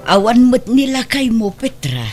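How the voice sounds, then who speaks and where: A woman's voice: fairly high pitch, very animated, unhurried, music in the background. An actress with a Filipino accent playing an older, worried mother and grandmother who scolds and laments in a radio drama. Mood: upset, lamenting. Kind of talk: Ilocano radio drama acting.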